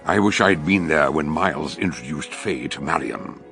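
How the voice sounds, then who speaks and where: An actor playing a genial, reflective older male detective who narrates a radio mystery in a gravelly English voice with measured, expressive phrasing. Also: a bass voice, faint music behind, exaggerated voice. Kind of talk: radio drama storytelling.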